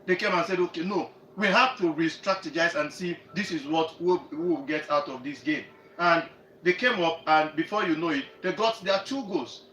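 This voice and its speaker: A Nigerian man with a fairly high voice discusses sport on a radio panel. He sounds earnest and repeats phrases as he goes, in a roomy space away from the mic with faint noise. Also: normal speed, sharp tone, far from the mic. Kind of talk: live sports commentary and discussion.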